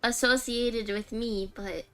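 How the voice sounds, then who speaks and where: Female game streamer: unhurried, higher-pitched, casual and rambling livestream chatter. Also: steady tone, sharp tone, clear, resonant tone, faint music behind. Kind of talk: casual livestream chat while gaming.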